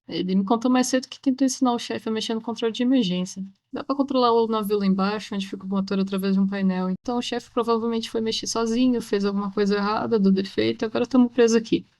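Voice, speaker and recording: A woman voicing a matter-of-fact, grudging ship's cleaner in an animated film, casual and colloquial. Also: mid-range voice, no echo, natural voice.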